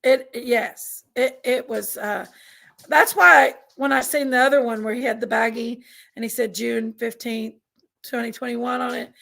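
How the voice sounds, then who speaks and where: A woman with a Southern American accent, raspy and congested from allergies, chatting casually on a livestream and reading out viewers' names. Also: on the high side, a bit bright. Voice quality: raspy, gravelly. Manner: drawling, reads out chat names. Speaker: livestream host.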